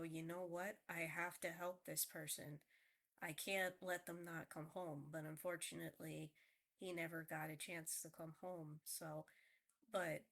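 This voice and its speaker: An adult woman speaks in a casual, heartfelt monologue, her voice a touch low and nasal from a recent cold. She rambles earnestly, circling back and restarting her sentences as she goes. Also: fairly bright tone. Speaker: vlogger.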